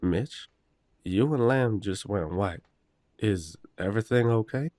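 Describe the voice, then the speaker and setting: A man playing a level-headed, wry werewolf brother in an audio roleplay, speaking casually and in a measured way. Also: fairly low pitch, lively intonation, warm tone, dry recording, studio quality. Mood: calm, concerned.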